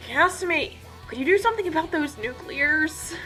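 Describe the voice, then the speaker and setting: Woman as exasperated, sarcastic archer guy in a comic adventure tale, high and animated. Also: moderate pace, faint music behind, low-quality recording. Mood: dry, urgent. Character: grumpy male archer sidekick, sarcastic and exasperated.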